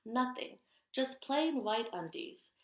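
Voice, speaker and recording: A woman reading a picture book aloud to young children, expressive and chatty, with asides to her listeners. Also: high-pitched, slow pace, roomy sound. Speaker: read-aloud storyteller.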